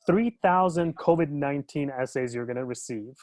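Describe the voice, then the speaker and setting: A man's voice: a little high, moderate pace, darkish tone, dry recording, studio quality. A man from Turkey, an educational consultant in his thirties, talking casually in a webinar discussion, with many fillers and restarts.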